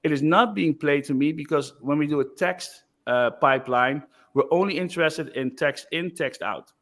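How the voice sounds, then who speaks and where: A man giving a casual screen-share demo of software, loose and chatty with frequent fillers. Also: fairly high pitch, moderate pace. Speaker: software developer presenting.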